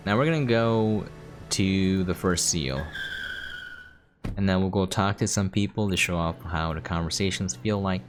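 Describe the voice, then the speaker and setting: Low-voiced man giving casual gameplay commentary. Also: normal speed. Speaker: game preview host. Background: game music.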